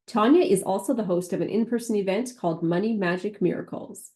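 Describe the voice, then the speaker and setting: A woman's voice: medium pitch, fairly quick, warm tone. A woman hosting a podcast, reading an intro and welcoming her guest in an upbeat, announcer-like way. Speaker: podcast host. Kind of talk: scripted podcast intro and interviewing.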